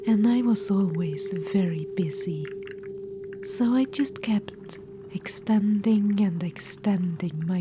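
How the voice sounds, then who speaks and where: A woman voicing an ancient, vain and scheming undead witch-queen in a whispered ASMR roleplay. She whispers softly and unhurriedly, sounding condescending and calling the listener darling. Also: medium pitch, noisy background, close to an everyday voice.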